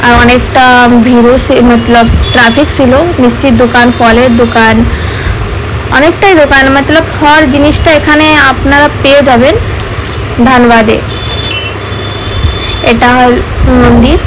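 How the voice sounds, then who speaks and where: A woman's voice: high voice, steady tone, measured pace, long pauses. A young woman with Hindi-influenced Bengali speech, chatting casually to viewers in a repetitive, appealing vlog narration. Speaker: vlogger. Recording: noisy outdoor street with traffic.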